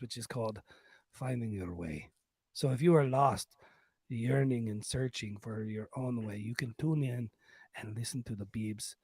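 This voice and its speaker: A man putting on a husky, halting lover. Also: mid-range voice, darkish tone, dry recording. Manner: halting, article-dropping broken English, seductive. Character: sultry Latin lover man, flirtatious, halting, Banderas-style. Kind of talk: comic podcast sign-off in a put-on voice.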